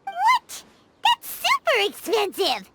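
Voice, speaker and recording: An actress voicing a tiny excitable floating fairy companion in a video game dub, squeaky and exclaiming. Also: female voice, slow, gravelly, heavy background noise, exaggerated voice. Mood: alarmed. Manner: squeaky, exclaiming, refers to herself in third person.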